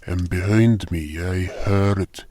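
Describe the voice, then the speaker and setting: A man's voice: low-pitched, fairly even intonation, slow, warm tone, no room sound. A man reading scripted first-person fantasy narration as a storyteller. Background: music.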